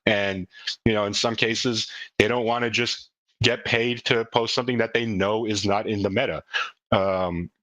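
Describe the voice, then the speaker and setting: A man's voice: lowish voice, fairly even intonation, normal speed, fairly bright tone, dry recording, rough recording. A man speaking casually and off the cuff as a project leader on a group call, earnest and emphatic, often repeating himself for stress. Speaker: crypto project leader hosting a community call. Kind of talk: casual unscripted group audio talk.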